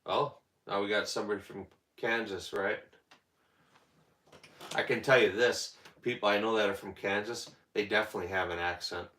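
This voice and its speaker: Deep guy chatting w his stream viewers, kinda bright tone, roomy echo. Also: fairly even intonation, moderate pace. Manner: rambling, talks back to chat comments. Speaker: livestream host. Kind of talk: casual livestream chat with viewers.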